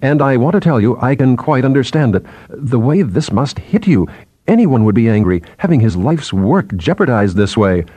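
A nervous young underling in science, played by a male audiobook reader, talks in an earnest, apologetic rush of deference. The voice sits at a middling pitch, recorded very dry. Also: lively intonation, normal speed, mellow, dry recording, close to an everyday voice. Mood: anxious, apologetic. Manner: chattering, hesitant.

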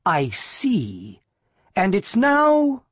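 A woman's voice: deep voice, very animated, slow pace, breathy edge, no room sound, studio quality, voice pushed up, heavily acted. A woman reader voicing a stern, irritable middle-aged chief librarian in a harsh, mocking, imperious manner for a story.

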